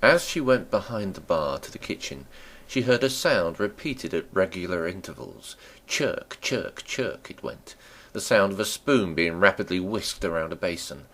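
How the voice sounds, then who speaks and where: A man narrating a classic novel as a dramatic reading, with theatrical, deliberate phrasing. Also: sits low, lively intonation, fairly bright tone. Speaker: audiobook narrator.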